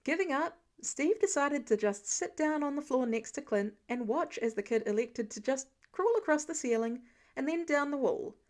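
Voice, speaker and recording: A woman reading a story aloud as an audiobook narrator, expressive and scripted. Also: high voice.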